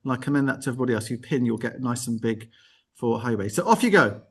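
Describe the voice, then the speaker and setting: Lively, quick-talking man hosting. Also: medium pitch, slightly rough. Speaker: host of a live online arts show. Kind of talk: casual live video-call hosting. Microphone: video call.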